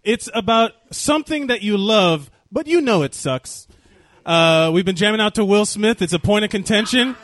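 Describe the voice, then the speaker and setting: A man's voice: high-pitched, lively intonation, normal speed, a bit bright. A man co-hosting a casual podcast, rambling and profane, full of fillers, restarts and trailing thoughts. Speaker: podcast co-host.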